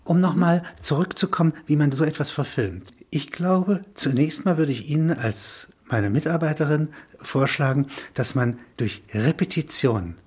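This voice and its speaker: Very husky man musing aloud. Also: a little high, darkish tone. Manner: musing, halting phrases punctuated with "ja". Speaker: interviewee, filmmaker. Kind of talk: reflective intellectual conversation.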